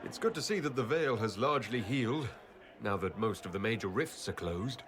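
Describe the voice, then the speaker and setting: A man's voice: on the low side, animated, a little fast, noisy background, close to an everyday voice. An actor playing a composed, well-spoken young nobleman and leader in a video game, articulate and courteous. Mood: conversational. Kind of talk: video game character acting.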